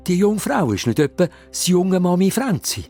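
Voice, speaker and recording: Male narrator, Swiss German, kids' audio drama. Mid pitch, very lively delivery, music underneath. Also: normal speed. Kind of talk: animated scripted story narration.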